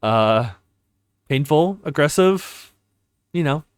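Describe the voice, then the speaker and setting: A man's voice: on the high side, animated, slow, clean tone, dry recording, studio quality. A man giving casual gaming commentary as a let's play host, searching for his words as he goes.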